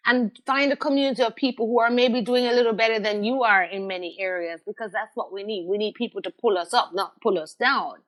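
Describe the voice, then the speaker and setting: A woman's voice: fairly high pitch, fast, hardly any pauses, a bit bright, low-quality recording. A middle-aged woman, speaking as an interview guest in an emphatic, conversational way, repeating phrases for stress. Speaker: podcast guest, radio host.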